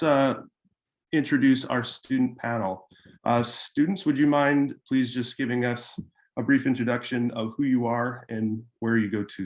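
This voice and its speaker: Man moderating a panel, halting. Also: mid-range voice. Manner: hesitant, many fillers.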